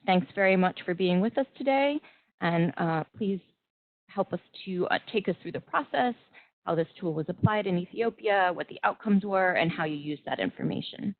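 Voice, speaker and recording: A woman presenting and moderating a webinar, explaining in a measured way with occasional restarts. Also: on the low side, a little hiss or hum. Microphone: video call. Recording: tinny phone-like web call audio.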